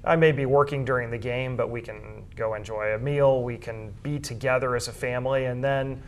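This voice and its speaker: A man with a medium-pitched voice speaks as an interview guest. He is a school sports information director, explaining things in a measured, conversational way. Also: steady tone, a little hiss or hum.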